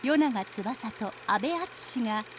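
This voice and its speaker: High-voiced female drama narrator. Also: animated, slow, slight background noise. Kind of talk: scripted radio drama narration.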